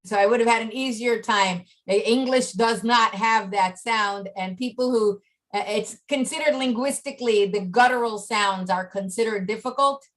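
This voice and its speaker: A woman's voice: on the high side, moderate pace. A woman, likely from the United States, chatting on a video call and explaining things in a talkative way. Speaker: chat participant. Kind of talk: casual video call chat.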